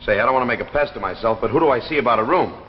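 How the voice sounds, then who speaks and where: An actor playing a touchy, persistent American newspaper reporter in a 1950s TV drama, brusque and insistent. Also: male voice, medium pitch, racing, natural voice. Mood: impatient. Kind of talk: 1950s television drama acting. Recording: muffled, noisy 1950s broadcast in a reverberant studio.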